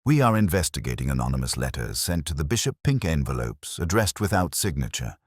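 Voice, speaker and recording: A man's voice: very deep voice, very animated, a little fast, breathy edge, no echo, studio quality, heavily acted. A man playing a mild, polite police inspector who asks questions courteously.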